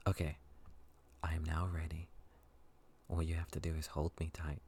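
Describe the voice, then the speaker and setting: A man's voice: rumbling low, completely flat delivery, normal speed, vocal fry, a little mellow, slight background noise. A man playing a skittish, clingy young guy who whimpers and stammers affectionately in an intimate ASMR roleplay. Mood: nervous, playful. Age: young adult. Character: skittish, clingy young male best friend, affectionate scaredy-cat.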